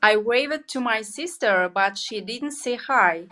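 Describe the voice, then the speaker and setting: A woman's voice: on the high side, expressive, slow, sharp tone. A woman from Kazakhstan whose first language is Kazakh, carefully repeating English words as a student. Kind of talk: practicing English pronunciation.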